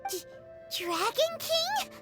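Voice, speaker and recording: A woman voicing a tiny, childlike fairy companion in a fantasy game, squeaky, excitable and emotional. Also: slow, loud music behind the voice, exaggerated voice. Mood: indignant, startled. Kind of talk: scripted fantasy game dialogue.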